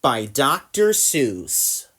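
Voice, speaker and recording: A woman narrates a rhyming storybook aloud. Her voice is low and unhurried, with a lively, expressive rise and fall. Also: slightly rough. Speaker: storybook reader.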